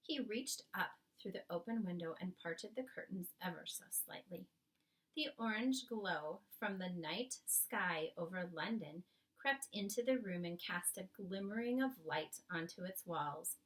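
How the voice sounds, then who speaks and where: A woman reading a children's book aloud expressively, with careful, dramatic phrasing and pauses. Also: lowish voice, a bit bright, far from the mic, low-quality recording. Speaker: storybook reader.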